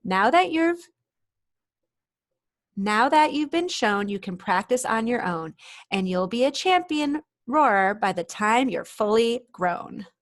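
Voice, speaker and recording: A woman voicing a brisk, commanding female dragon teacher who exclaims and lectures in rhyme for a storybook. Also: a little high, expressive. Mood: brisk, emphatic.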